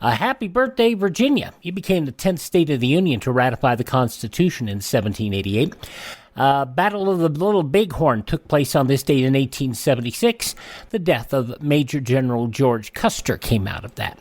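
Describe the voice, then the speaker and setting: A man hosting a radio show, reading a this-day-in-history rundown with offhand asides and quick self-corrections. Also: medium pitch, sing-song, no echo. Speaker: radio host.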